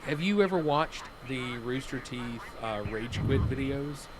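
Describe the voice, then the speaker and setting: Excitable man rambling over game noise. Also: mid-range voice, unhurried. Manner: excitable, rambling, restarts sentences. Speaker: livestream host. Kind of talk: casual gaming chat.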